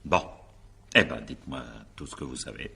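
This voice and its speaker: A male examining magistrate in a radio mystery drama. His voice is very low and gravel-rough, yet bright and forceful. He is brisk and impatient, firing short official questions and cutting answers off. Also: animated, full character voice. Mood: businesslike.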